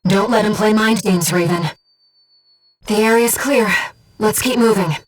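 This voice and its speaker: A woman performs a gentle, bodiless female companion from a video game, with a young voice at medium pitch. She speaks softly and exactly, like an earnest mission operator. The sound sits slightly away from the microphone. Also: a little slow, distant mic, natural voice. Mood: composed, focused. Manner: composed, soft-spoken, earnest, precise. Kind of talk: video game voice acting, tactical radio guidance.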